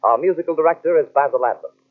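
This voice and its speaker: A man announcing an old-time radio drama in a smooth, formal, measured way. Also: on the high side. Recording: tinny old radio transcription with music.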